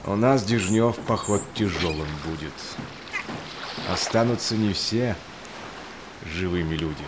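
An actor playing a grave, honourable Cossack expedition leader, delivering rhymed audio drama verse in a measured way. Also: male voice, low voice, background noise. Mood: sombre, resolved.

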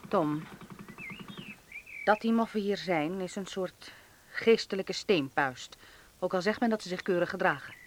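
A man's voice: high voice, measured pace, long pauses, slight background noise. A man acting a sardonic, principled patriot in a Dutch wartime radio drama, with a biting and indignant way of talking. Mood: bitter sarcasm.

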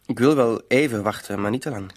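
An actor in a radio play, a man, playing a polite, greedy blackmailer with a calmly menacing manner. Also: medium pitch, fairly quick, natural voice. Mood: coolly threatening. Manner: mock-courteous, calmly menacing. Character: smooth-talking, polite, greedy male blackmailer. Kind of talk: Dutch-language radio drama acting.

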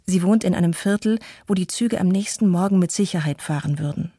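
A woman playing a shaken but resolute mother, giving measured, sober testimony in a radio play. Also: lowish voice, warm tone, breathy edge, dry recording, studio quality. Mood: sober, grave. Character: shaken but resolute Tokyo mother recalling her flight.

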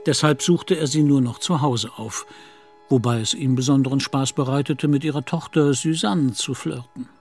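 A man's voice: medium pitch, moderate pace, a little mellow, faint music behind, natural voice. A man narrating a literary audio drama in German as a scripted storyteller.

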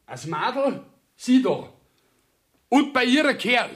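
A man's voice: very high-pitched, sing-song, takes it slowly, a crowd in the background, heavily acted. An actor playing a plain-spoken, stubborn young peasant in a Bavarian dialect radio play, telling his story in an artless, rambling rustic way. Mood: earnest recounting.

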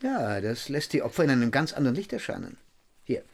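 An actor playing a talkative, cynical police inspector who explains things knowingly and insinuatingly in a crime radio play. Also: male voice, mid-range voice, huge pitch swings, fast talker, creaky, breathy edge, exaggerated voice.

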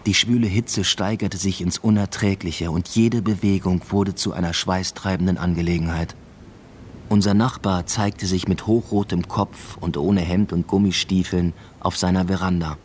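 An actor playing a brooding husband and father, narrating a literary radio drama in a measured, introspective way. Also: low-pitched, fairly even intonation, a little mellow, slight background noise, lightly acted. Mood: reflective.

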